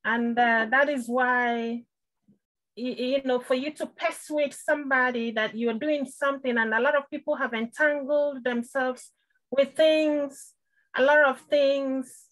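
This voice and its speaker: High-voiced woman giving heartfelt thanks. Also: fairly even intonation, measured pace, fairly bright tone, rough recording. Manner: heartfelt and devout, with short laughs. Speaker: student in an IT training program. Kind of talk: thankful testimony on a webinar. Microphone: video call.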